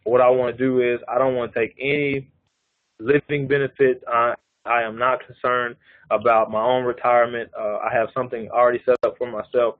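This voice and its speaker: A man's voice: medium pitch, level tone, a little fast, dry recording. A man in his thirties presenting life insurance figures on a live conference call, explaining step by step and often checking in with his listeners. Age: 30s. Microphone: phone or call line. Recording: narrow phone-line audio.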